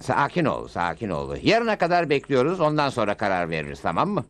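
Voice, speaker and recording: An actor playing a cool, wry, capable cowboy hero in a Turkish cartoon dub. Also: male voice, medium pitch, wildly swooping pitch, brisk, fairly bright tone, a little hiss or hum. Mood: steady, practical. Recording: music bed under the dialogue.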